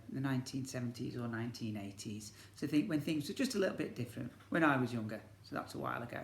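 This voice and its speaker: A man's voice: medium pitch, a bit bright. A man, a teacher reading a children's story expressively and breaking off to ask his young listeners questions. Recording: echoey room.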